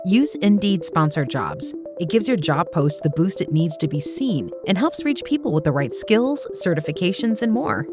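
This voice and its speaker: A woman announcer delivering a scripted advertisement. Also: lowish voice. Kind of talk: scripted ad read. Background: music bed.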